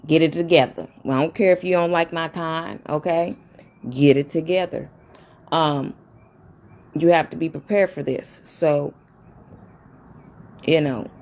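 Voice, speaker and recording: Woman, casual vlog chat to viewers, slightly low voice, at home upstairs. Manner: chatty, addresses viewers directly and often. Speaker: vlogger. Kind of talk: casual talk to camera. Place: upstairs room at home with a fan running.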